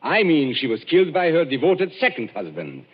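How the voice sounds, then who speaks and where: An actor playing a shrewd gentleman detective, unmasking a killer in 1940s radio-drama style, measured and commanding. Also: fairly high pitch, huge pitch swings, breathy edge, exaggerated voice. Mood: calm, triumphant. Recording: muffled 1940s radio broadcast.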